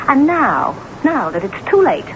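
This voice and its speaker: A high-voiced, high-strung woman novelist, swooping theatrically through scornful, imperious lines. She takes her time over every biting word, on a muffled old broadcast with music underneath. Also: big pitch swings, measured pace, slight background noise. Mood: furious, indignant. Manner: theatrical, scornful, biting delivery with emphatic repetition. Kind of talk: old-time radio drama acting. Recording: muffled lo-fi radio drama tape.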